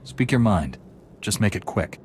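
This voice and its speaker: An actor playing a calm, resolute warrior-monk hero with a measured, direct manner in a video game. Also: male voice, lowish voice, a little hiss or hum. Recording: clear background music.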